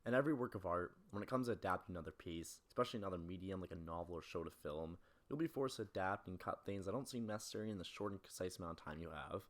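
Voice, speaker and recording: Video essayist: young male, deep-pitched, brisk scripted narration. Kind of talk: scripted film-analysis narration.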